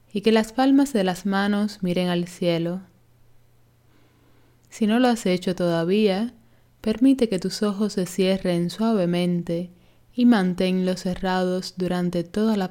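Slow, mellow woman guiding relaxation. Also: medium pitch. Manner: calm, soothing, gently instructing. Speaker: yoga nidra instructor. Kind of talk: guided relaxation meditation.

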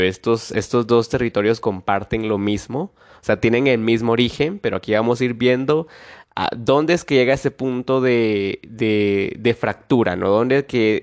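A man with a stuffy nose from a cold, hosting a solo history podcast in a casual way that often adds little tag questions. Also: mid-range voice. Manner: casual, frequent tag questions and small restarts. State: nasal congestion from a cold. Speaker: podcast host.

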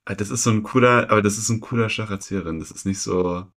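A young man co-hosting a podcast, telling personal stories casually and haltingly, often repeating phrases. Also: on the low side, fairly bright tone. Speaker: podcast co-host. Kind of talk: casual chat, personal storytelling.

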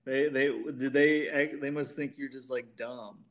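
A man's voice: mid-range voice, fairly even intonation, measured pace, a bit bright. A man of thirty-one chatting casually as a podcast guest, rambling and hesitant, with mild exclamations. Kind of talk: casual chat.

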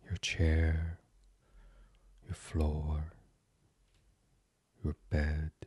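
A very low-voiced man leads a sleep meditation in an ASMR style. His voice is heavily breathy and husky, often close to a whisper. He speaks slowly and soothingly, pausing between short phrases. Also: completely flat delivery, no room sound. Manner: soothing, hushed, gentle, with many pauses. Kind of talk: guided sleep meditation, soft ASMR reading.

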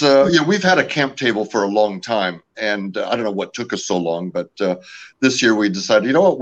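A man from California, raised speaking French at home, talking about his company's products in a casual interview, with many fillers and restarts. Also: medium pitch. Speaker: company representative.

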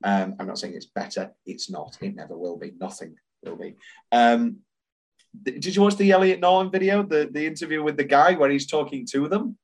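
A man's voice: fairly high pitch, animated, brisk. A man co-hosting a podcast, chatting enthusiastically about musicals and reciting lyrics aloud. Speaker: podcast co-host. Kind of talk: casual chat about musicals.

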